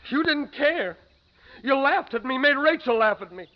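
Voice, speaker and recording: A high-pitched, bitter young man with a gun, accusing and spiteful. The delivery is 1950s radio melodrama, heard through a dull, muffled vintage recording. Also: animated, normal speed. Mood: jealous bitterness. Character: bitter, jealous young male killer with a gun. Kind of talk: 1950s radio drama acting.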